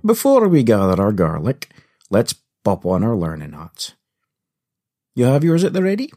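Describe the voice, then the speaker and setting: Husky, theatrical man hosting a podcast. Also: fairly low pitch, huge pitch swings, moderate pace, mellow. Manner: theatrical, punning, playful. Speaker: podcast host. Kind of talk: scripted comedic narration. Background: faint music.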